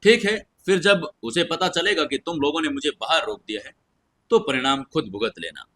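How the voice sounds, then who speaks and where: A man voicing a cold, composed young noblewoman in an audio story, emotionless, unhurried and curt. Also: a little high, lively intonation, fairly bright tone. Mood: calmly threatening.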